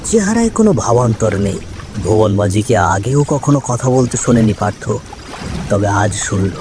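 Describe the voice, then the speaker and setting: A man narrating a scripted ghost story in a suspenseful, expressive way. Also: fairly low pitch, normal speed. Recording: clear rain and river water noise.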